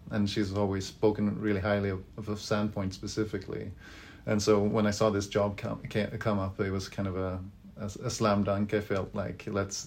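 Low, flat-voiced Swedish man. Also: creaky. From Sweden. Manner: thoughtful, hesitant with frequent fillers and restarts. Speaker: library director. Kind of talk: interview answers.

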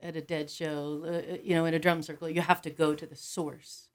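A woman with a low voice talks as a guest music teacher in a casual interview. She speaks in an enthusiastic way. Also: little pitch movement, brisk.

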